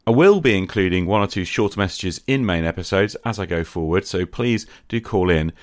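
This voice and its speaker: A man's voice: deep voice, animated, fairly quick, no echo, clean studio sound. A man speaking to listeners as the host of a podcast.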